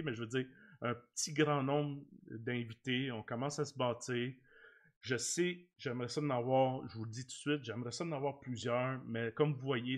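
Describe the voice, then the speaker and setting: A man in his forties with a Québécois accent, chatting informally and effusively as the host of a sports livestream. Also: medium pitch. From Quebec, Canada. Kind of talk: casual livestream chat with guest and viewers. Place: small home studio room, indoors. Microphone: large desk microphone close up.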